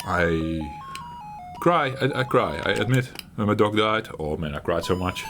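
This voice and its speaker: A middle-aged man hosting his retro gaming channel, talking while he plays in a halting, reflective way, searching for words and laughing at himself. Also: lowish voice, animated, over music. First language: Dutch. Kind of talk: reflective gameplay commentary.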